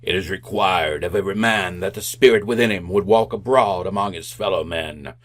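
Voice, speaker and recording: A man playing a solemn, accusing ghost in an old-time radio drama, speaking in a portentous, drawn-out manner. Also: sits low, fairly bright tone. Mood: grave. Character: solemn male ghost of a dead business partner, accusing.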